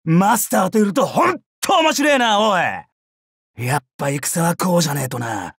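An actor playing a brash, bloodthirsty young male samurai in a mobile game, with rough, swaggering speech. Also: high-pitched, big pitch swings, normal speed, a bit bright, dry recording, studio quality, heavily acted. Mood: boisterous, gleeful. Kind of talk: Japanese mobile game character voice lines.

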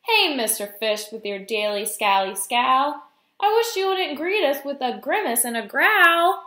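Woman voicing a chiding, high-voiced storybook jellyfish. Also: sing-song, a little slow, pushed and bright, reverberant, slight background noise, full character voice. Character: gently reproving male jellyfish.

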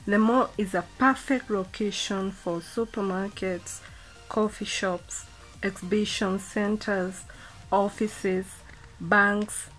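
A woman's voice: mid-range voice, steady tone, slow pace, fairly bright tone, faint music behind, rough recording. Woman narrating a mall tour vlog. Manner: reads facts in a listing cadence, then chats casually with fillers. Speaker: vlogger.

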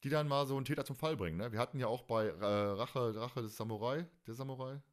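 Male podcast host, forties, medium pitch, animated and colloquial, loose rambling chat. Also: fast. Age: 40s. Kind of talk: casual chat.

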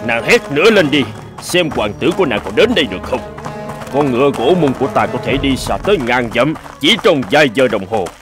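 A man's voice: medium pitch, very animated, brisk, over music, lightly acted. A man voicing a scheming old male sage in a cartoon fairy tale, gloating and wheedling.

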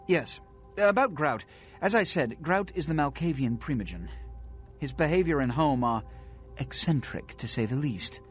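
An actor playing a haughty, impatient vampire leader in a video game, with ornate, condescending phrasing. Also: male voice, on the high side, animated, normal speed, lightly acted. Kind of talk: scripted video game acting. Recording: music behind.

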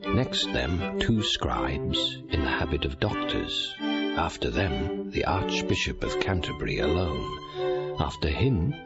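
Deep-voiced male narrator over loud string music. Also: sing-song. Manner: measured, formal. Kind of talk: formal scripted narration of stage directions. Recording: slightly dull, loud music behind.

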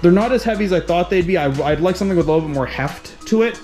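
A man from Canada casually unboxing and installing gear in his home gym, chatty and relaxed, with small restarts as he works. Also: sits high, very fast, soft background music. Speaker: fitness vlogger.

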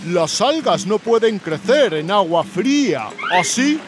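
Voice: medium pitch, sing-song, slow, background noise. An actor voicing a big, friendly, plain-spoken polar bear in a children's cartoon. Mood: pleased. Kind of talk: animated children's cartoon dubbing. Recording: music behind the voice.

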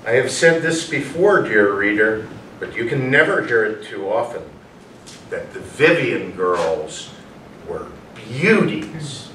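A man playing a writer reading his florid tale aloud in a staged reading, deliberate, with pauses mid-sentence. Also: medium pitch, very animated, fairly bright tone. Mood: solemn, admiring. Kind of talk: staged play reading, storytelling. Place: small hall, staged reading before an audience. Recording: roomy distant camera recording, faint noise.

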